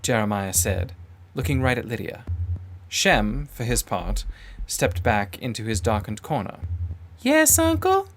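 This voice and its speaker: Low-pitched man narrating a dark story. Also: unhurried, slight background noise. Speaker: audiobook narrator. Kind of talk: dramatic scripted first-person narration.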